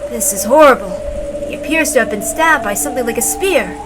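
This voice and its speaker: A woman's voice: on the high side, expressive, brisk, background noise, close to an everyday voice. A woman voicing a grave older male doctor, urgent and worried, in a dramatic story reading.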